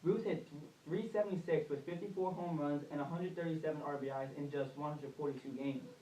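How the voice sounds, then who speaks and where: A man's voice: fairly high pitch, fairly even intonation, a little fast, mellow, natural voice. A young man playing a chatty, colloquial reporter in a scripted amateur 1920s radio newscast. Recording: echoey room, distant mic.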